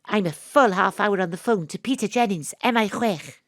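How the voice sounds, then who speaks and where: A woman's voice: medium pitch, moderate pace, no room sound, studio quality, close to an everyday voice. A woman acting an eccentric amateur detective who narrates her investigation in an audio drama, theatrically and with dramatic repetition. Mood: urgent, scheming. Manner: theatrical, breathless self-talk with dramatic repetition. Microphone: close home studio microphone.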